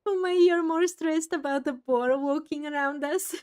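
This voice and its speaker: A woman's voice: high-pitched, normal speed, darkish tone. A woman hosting a game playthrough, musing aloud and adding exclamatory asides as she goes. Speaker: streamer, game playthrough host. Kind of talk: gaming commentary mixed with reading dialogue.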